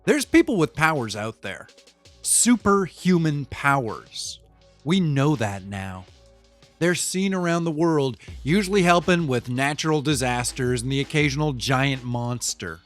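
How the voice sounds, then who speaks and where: A man's voice: mid-range voice, very animated, measured pace, faint music behind. A man playing a cynical, pragmatic business type in an audio drama monologue, matter-of-fact, opinionated and conversational as he explains things. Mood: explanatory.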